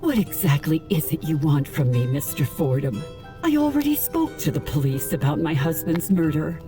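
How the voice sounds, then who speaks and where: A proud older widow in mourning in a video game. Her voice sits low but rises and falls widely, and her formal replies come out clipped and indignant. A musical score plays clearly beneath her. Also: very animated, normal speed, music in the background. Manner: curt, indignant, formally spoken. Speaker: grieving widow of a politician.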